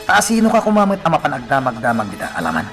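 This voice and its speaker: A man's voice: medium pitch, wildly swooping pitch, quick pace, fairly bright tone, distant mic. A man playing a bossy, impatient gangster's underling in a radio drama. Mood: impatient, scolding. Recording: slightly off-mic, faint music.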